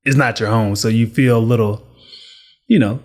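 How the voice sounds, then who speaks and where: Laid-back guy guest on a podcast, telling stories, mid-pitch voice. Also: moderate pace. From Dallas and Chicago. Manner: relaxed, easygoing storytelling with laughs. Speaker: guest, business owner and retired boxer. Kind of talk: casual interview storytelling.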